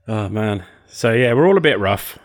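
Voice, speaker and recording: A man hosting a podcast, chatty and upbeat as he steers the conversation. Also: fairly low pitch. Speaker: podcast host. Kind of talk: casual chat.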